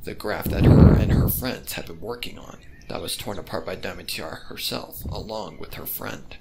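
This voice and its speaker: A man's voice: low-pitched, moderate pace, vocal fry. A man reading fan fiction aloud as a scripted narrator. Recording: heavy background noise with birdsong.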